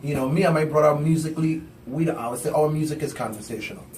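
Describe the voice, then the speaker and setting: Caribbean male songwriter, relaxed radio guest, faint room hum behind. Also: fairly high pitch, roomy sound, distant mic. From U.S. Virgin Islands. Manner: relaxed, conversational, quick bursts with laughs. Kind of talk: casual interview chat. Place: radio studio.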